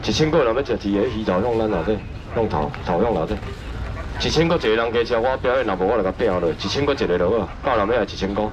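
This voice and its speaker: A man running a live seafood auction at an outdoor night market stall, loudly shouting prices and sales patter. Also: lively intonation, dull audio. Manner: loud rapid sales pitch, shouting prices. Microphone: wide camera in front of stall. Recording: noisy outdoor market, traffic behind.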